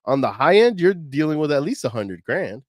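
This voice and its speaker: A man's voice: fairly high pitch, sing-song, moderate pace, no room sound, studio quality. A man co-hosting a podcast, talking casually and animatedly with slang and fillers. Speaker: podcast co-host.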